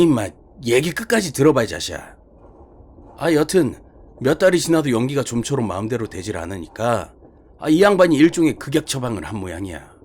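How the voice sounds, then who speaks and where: A man voicing a gruff, chatty senior detective in an audio horror story, speaking in a blunt, colloquial, emphatic way. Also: mid-range voice, a little mellow. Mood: gruff, scolding. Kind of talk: dramatized horror short story reading.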